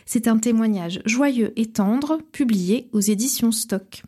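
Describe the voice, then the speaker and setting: A woman hosting a radio program, reading a scripted book review in measured, short phrases. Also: fairly high pitch, dark tone. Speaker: radio host.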